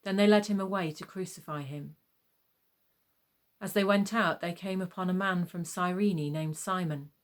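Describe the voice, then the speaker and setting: Female narrator for a dramatised gospel reading, slightly low pitch, solemn and measured delivery. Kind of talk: scripted scripture narration.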